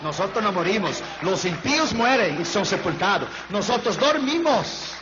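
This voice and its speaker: Fervent high-voiced preacher man. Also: sing-song, normal speed. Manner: fervent, repetitive preaching that swings between shouting and near-whispers. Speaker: pastor. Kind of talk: sermon. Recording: dull lo-fi recording with faint hum.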